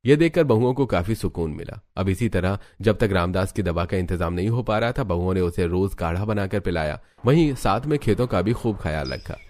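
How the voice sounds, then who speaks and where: A man's voice: low-pitched, animated, a little fast, hardly any pauses, darkish tone. A man reading scripted narration for a family cartoon story.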